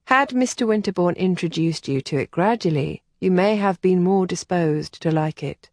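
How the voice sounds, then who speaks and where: Woman reading as a calm caring lady, gentle and matter-of-fact, dry close sound. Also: fairly low pitch, normal speed, dry recording, lightly acted. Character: composed, caring older female companion. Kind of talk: audiobook character voicing.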